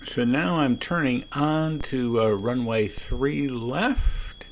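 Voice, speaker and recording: A man who is a pilot, giving calm explanatory commentary on his own flight from the cockpit of a small plane. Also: medium pitch, slow pace. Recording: noisy small-plane cockpit, radio transmissions.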